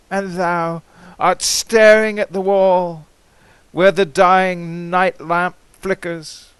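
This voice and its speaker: A man giving a theatrical, impassioned recitation of poetry, swinging between hushed and ringing delivery. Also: high-pitched, clean tone, dry recording. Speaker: amateur poetry reader.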